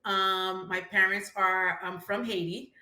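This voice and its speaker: A woman from Brooklyn, in her thirties, with a bright, sharp tone. She talks in a friendly, conversational way, pausing often on filler words, and the sound carries a noticeable room echo. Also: mid-range voice, fairly even intonation. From Brooklyn, New York. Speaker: guest, finance entrepreneur. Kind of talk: interview answers, conversational. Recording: echoey room.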